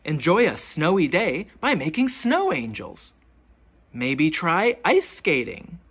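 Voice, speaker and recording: Slow, very animated storybook reader. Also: mid-range voice, breathy edge. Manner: expressive, deliberate questioning delivery for young children. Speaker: teacher reading a picture book aloud. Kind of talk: children's storybook read-aloud.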